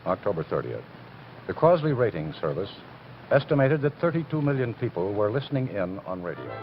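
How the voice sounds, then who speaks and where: Grave narrator, documentary style, expressive pitch, dull lo-fi soundtrack. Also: male voice, fairly quick, background noise. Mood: solemn. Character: grave male narrator of a true historical account. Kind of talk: solemn scripted documentary narration. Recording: dull lo-fi old television audio, music behind.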